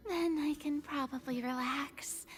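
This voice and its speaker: A woman voicing a small, excitable floating fairy child for a game, squeaky and earnest. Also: slow. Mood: uncertain. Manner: expressive, earnest, exclaiming. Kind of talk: animated game voice acting. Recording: game music behind.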